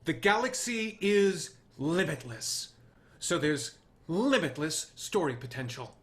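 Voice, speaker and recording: An actor playing an earnest, driven male TV writer, speaking with deliberate emphasis in a screenplay table read. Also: on the high side, sing-song, fairly bright tone, full character voice. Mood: stiff, nervous.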